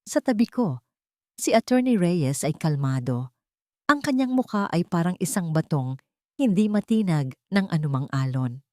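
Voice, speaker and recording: A woman's voice: fairly low pitch, very animated, normal speed, warm tone, no echo, clean studio sound. A woman reading a scripted first-person story in a dramatic, solemn manner. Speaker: narrator.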